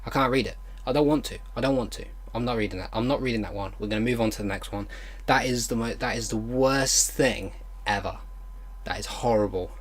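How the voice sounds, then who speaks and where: A man hosting an online video, reading fan fiction aloud haltingly with exasperated, disbelieving commentary. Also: medium pitch.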